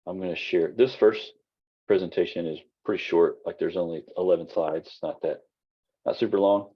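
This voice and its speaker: A man teaching an online class in a loose, rambling way with lots of fillers, his voice husky and giving out. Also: low-pitched, moderate pace, highs cut off. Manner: casual, rambling, many fillers and restarts. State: losing his voice. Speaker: college instructor.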